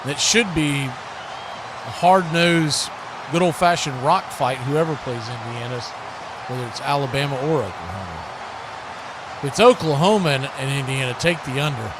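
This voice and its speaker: An American man doing live football play-by-play commentary, conversational between snaps and animated on big plays. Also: medium pitch, moderate pace, background noise. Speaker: play-by-play sportscaster.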